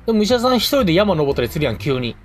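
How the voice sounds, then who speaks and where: A man's voice: fairly high pitch, big pitch swings, racing, a little hiss or hum. A man with a Kansai accent co-hosting a radio show, bantering casually and reading out listener mail in a colloquial way.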